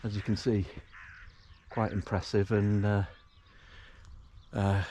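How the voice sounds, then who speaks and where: A man narrating a morning countryside walk in a relaxed, hesitant way, full of fillers, his voice a little croaky from just waking up. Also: on the low side, takes it slowly, a little hiss or hum. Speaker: hiking vlogger. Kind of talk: casual walking commentary. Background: rural outdoor sounds, animals.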